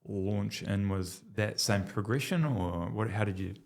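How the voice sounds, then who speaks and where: A man's voice: fairly low pitch, unhurried, vocal fry, a little mellow. A man hosting a podcast, asking encouraging, probing questions in casual chat. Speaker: podcast host.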